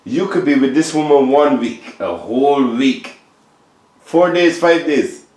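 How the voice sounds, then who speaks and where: A man in his late thirties speaks insistently, lecturing the others in a casual group chat. His voice has a middling pitch and rings in an echoey room. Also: expressive, a little slow, a little mellow. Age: 30s. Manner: insistent, lecturing, keeps saying listen to me and trust me. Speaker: discussion participant.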